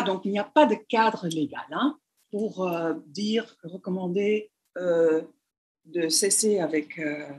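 Hesitant woman lecturing, French not native. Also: lowish voice. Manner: hesitant, many fillers and restarts. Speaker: university lecturer. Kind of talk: online lecture over slides.

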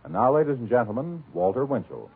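A man's voice: medium pitch, big pitch swings, fast talker, husky. An American man as a 1940s radio announcer, reading scripted sponsor copy with a polished salesmanlike delivery. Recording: muffled, band-limited 1940s radio broadcast.

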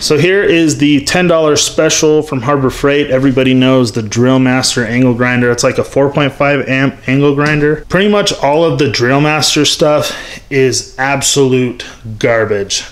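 Frank, rambling man reviewing shop tools. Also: mid-range voice. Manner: rambling, frank, lots of ums and restarts. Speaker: workshop YouTuber reviewing tools. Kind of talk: casual unscripted tool review. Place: metal-walled home workshop.

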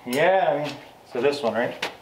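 A man talks casually while he works, thinking out loud. The sound is very roomy and picked up from a distance, with clear background noise of clanks and clicks. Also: mid-range voice, expressive, normal speed. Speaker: garage host. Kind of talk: casual chat while working. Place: garage workshop.

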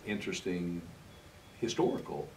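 A man in his seventies hosts a conversational interview in a low voice. He is halting and often repeats his phrases. He sounds slightly away from the microphone, with faint noise behind him. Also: big pitch swings, darkish tone. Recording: slightly distant mic, faint background noise.

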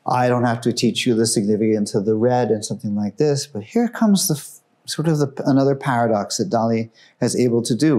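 A man giving an informal, enthusiastic talk about artworks in a museum room, growing hushed and solemn at the tragic pieces. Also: medium pitch. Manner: enthusiastic, conversational, drops his voice for solemn works. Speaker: museum guide presenting artworks. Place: museum gallery room, indoors.

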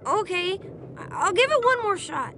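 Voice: very high voice, big pitch swings, normal speed, sharp tone, soft background music, full character voice. An actor playing a timid, easily frightened little child in a video game, talking in a hesitant way. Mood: scared. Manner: hesitant, fearful. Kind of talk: video game cutscene acting.

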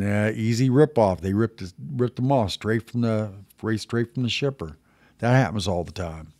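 A man's voice: mid-range voice, a little mellow, no echo. Folksy older man, dry close sound. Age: 60s. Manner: folksy trucker slang, plainspoken. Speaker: video host, veteran truck driver. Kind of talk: casual storytelling and advice to camera. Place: small indoor home studio.

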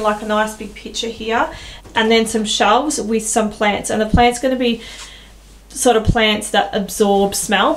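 Chatty woman in echoey room. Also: mid-range voice. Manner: chatty, candid, self-deprecating. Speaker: home decor vlogger. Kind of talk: casual walkthrough tour. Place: small tiled laundry room indoors. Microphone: handheld camera at close range. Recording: echoey small room, faint room hum.

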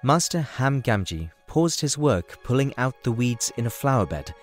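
A man's voice: medium pitch, big pitch swings, normal speed. A man reading scripted storybook narration for an audio drama. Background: music.

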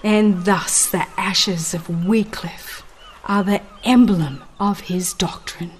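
A woman narrating a dramatized audiobook of religious history in a solemn, measured manner with deliberate phrasing. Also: mid-range voice, steady tone, a little hiss or hum. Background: background music.

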